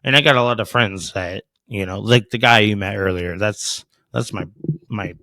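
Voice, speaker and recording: Man in his thirties, fairly low voice, blunt and profane, close dry mic. Casual podcast guest. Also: moderate pace, pushed and bright, no echo. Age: 30s.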